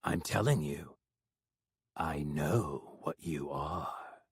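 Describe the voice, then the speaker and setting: A man voicing a menacing young male stalker, speaking low, slow and threatening. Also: slightly rough, dry recording, full character voice.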